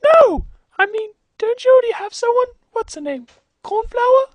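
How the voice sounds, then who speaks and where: A performer voicing a sarcastic, bossy young female woodland creature in a comedy cartoon parody, with a squeaky voice and dry delivery. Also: animated, normal speed, dry recording. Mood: exasperated.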